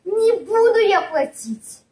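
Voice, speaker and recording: A sly, stubborn bat played by a woman from a children's fairy tale, with an extremely high voice. She argues at a very slow, emphatic pace and refuses to give in. The sound is roomy and echoing. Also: expressive, mellow, exaggerated voice. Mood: defiant, sly. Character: cunning, defiant female bat refusing to pay tribute.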